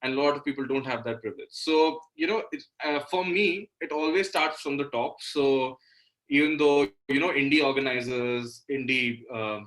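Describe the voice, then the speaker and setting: An Indian-accented man speaks as a guest in a casual interview. His voice is bright and forward, at a medium-high male register or thereabouts. He talks earnestly, doubling back on words for emphasis. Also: moderate pace, rough recording. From Delhi, India. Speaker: interview guest, musician and guitar teacher.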